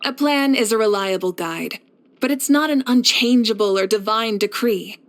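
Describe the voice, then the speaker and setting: A woman playing a confident, kindly fire archon and war leader in a video game, speaking in an assured, measured, encouraging way. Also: a little high, natural voice. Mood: earnest, assured. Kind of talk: scripted video game character voice lines.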